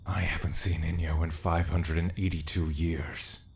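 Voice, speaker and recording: Casting: male actor as ageless immortal, very low creaky voice, half-whispered, patient, wistful, solemn audio drama, faint noise. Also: level tone, normal speed.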